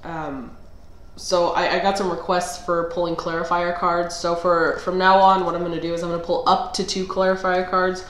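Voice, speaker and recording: A woman's voice: lowish voice, little pitch movement, normal speed, hardly any pauses, roomy sound, a little hiss or hum. A woman doing a casual tarot reading who sounds slightly nasal and under the weather, thinking aloud with fillers and restarts. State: under the weather, clearing throat. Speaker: tarot reader.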